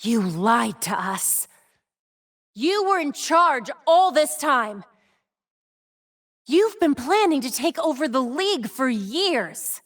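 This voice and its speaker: An American woman playing a young, wry, determined vigilante hacker in a video game, with quippy and expressive delivery. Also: sits high, slow pace, pauses often, dry recording, clean studio sound, full character voice. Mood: hurt, intense.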